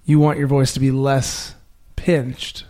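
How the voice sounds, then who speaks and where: A podcast host talking in a loose, rambling way, prone to giggles. The voice sits at an ordinary middle pitch, and the host sounds mildly high. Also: flat delivery, slow, dark tone. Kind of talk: casual chat.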